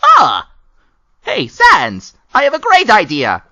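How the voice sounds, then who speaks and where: A woman voicing a vain, excitable, theatrical male skeleton in a comic dub, with grand declamatory delivery. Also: fairly high pitch, slow pace, creaky, dry recording, full character voice. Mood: eager. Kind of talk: animated comic dub acting.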